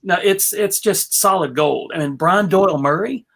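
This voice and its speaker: A woman on a panel chatting casually about films, halting and often searching for words. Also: fairly low pitch, vocal fry.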